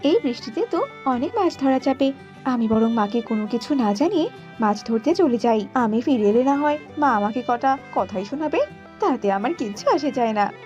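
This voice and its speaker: A woman voicing a bold, feisty young female bird in an animated fairy tale. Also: on the high side, moderate pace, lightly acted. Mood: carefree. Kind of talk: animated children's fairy-tale cartoon dialogue. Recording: slightly dull audio with background music.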